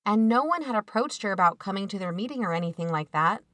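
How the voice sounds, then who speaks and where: An actress playing a watchful, protective aunt in a first-person audio drama, conversational and wry. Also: female voice, medium pitch, lively intonation, quick pace, fairly bright tone, no echo, close to an everyday voice. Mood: uneasy narration. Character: watchful, protective aunt narrating her own mystery.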